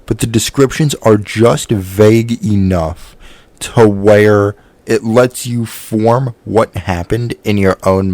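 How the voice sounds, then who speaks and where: A man with a fairly low voice delivers opinionated review commentary. His phrasing is informal and peppered with swearing. The sound is close and dry, with little room around it. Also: a little mellow, dry recording. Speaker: video reviewer.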